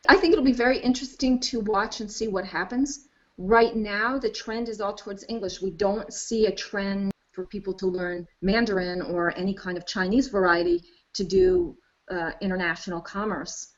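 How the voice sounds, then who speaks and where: A woman's voice: mid-range voice, normal speed. Woman professor on a dull video call. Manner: thoughtful, explanatory. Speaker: linguistics professor. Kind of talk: interview answers.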